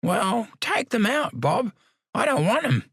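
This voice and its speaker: A toothless old man in his nineties, voiced by a man reading a story, speaks in a shrill, piping voice. He is stubborn and cantankerous, and his pitch jumps high and swings widely. The sound is close and dry. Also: sing-song, measured pace, a bit bright, slightly rough, dry recording, exaggerated voice.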